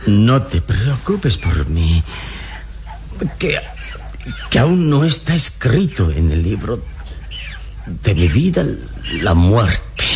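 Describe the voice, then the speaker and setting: An actor playing a noble, unbreakable adventure hero in a melodramatic radio drama, speaking solemnly and sententiously with a creaky deep voice. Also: male voice, very animated, slow pace, long pauses, background noise, heavily acted. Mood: tender reassurance. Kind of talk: melodramatic Latin American radio drama acting.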